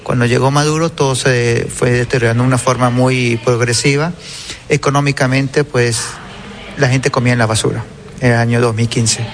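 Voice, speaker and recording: A man's voice: mid-range voice, steady tone, normal speed, slight background noise. A middle-aged man from Venezuela, telling his migration story in an interview in an earnest way.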